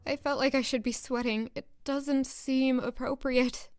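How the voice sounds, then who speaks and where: An actress playing an earnest, nervous young palace maid who narrates and speaks in a first-person audio drama. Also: female voice, sits high, fairly even intonation, a little slow, loud music behind the voice. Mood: anxious, torn.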